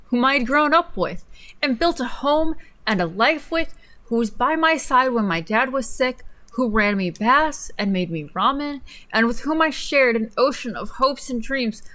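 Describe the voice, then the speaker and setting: A woman's voice: sits high, expressive, measured pace, sharp tone. Incredulous young woman reading aloud. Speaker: co-host of a livestream. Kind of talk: dramatic reading of an article with casual asides.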